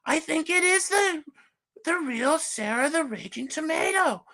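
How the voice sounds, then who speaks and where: A man voicing a gushing woman host in falsetto on a comedic livestream, starting almost every line with "well". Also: lively intonation, measured pace, sharp tone, heavily acted. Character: shrill fake woman hostess, gushing. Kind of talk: comedic livestream character bit.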